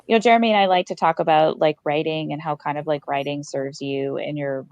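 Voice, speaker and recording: A woman's voice: low-pitched, fairly even intonation, a little fast, darkish tone, no room sound, lo-fi sound. A woman hosting a podcast in casual interview chat, talking in an effusive, affirming way. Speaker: podcast host.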